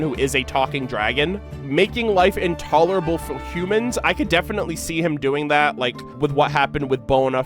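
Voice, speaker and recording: An American man giving casual, informal reaction commentary as he thinks aloud about game lore and reads bits of it out. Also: medium pitch. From United States. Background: music.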